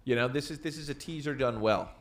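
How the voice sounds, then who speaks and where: A man with an average-pitched voice chats as a podcast co-host. He speaks casually and conversationally, sharing his opinions. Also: fast. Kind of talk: casual chat.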